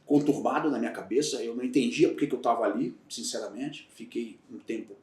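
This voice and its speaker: A man's voice: mid-range voice, fast talker, darkish tone. Bahian man telling candid stories. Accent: Bahian Brazilian Portuguese. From Salvador, Bahia, Brazil. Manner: reflective, candid storytelling with frequent restarts. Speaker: guest, retired federal police agent. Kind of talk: casual podcast interview. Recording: roomy echo, voice heard from a distance.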